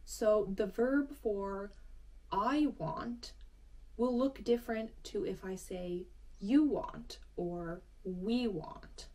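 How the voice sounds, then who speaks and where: A woman's voice: medium pitch, a little mellow. Encouraging woman teaching language basics. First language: Finnish. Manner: friendly, encouraging, explains step by step. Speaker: language teacher and channel host. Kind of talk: casual explanatory lesson to camera.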